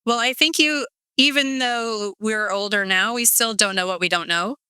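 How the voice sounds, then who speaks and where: A woman's voice: fairly high pitch, normal speed, bright, forceful tone, clear, resonant tone, no room sound, clean studio sound. A woman in her forties from California, a podcast guest telling her story in a chatty, rambling way with many fillers. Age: 40s. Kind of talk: casual interview storytelling.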